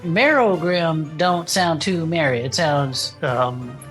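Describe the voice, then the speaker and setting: An actor voicing a folksy, easily spooked cryptid club podcaster in a comedy audio drama, full of astonished, drawn-out reactions. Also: medium pitch, fairly bright tone, soft background music. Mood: amused, intrigued. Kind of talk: podcast comedy banter, in character.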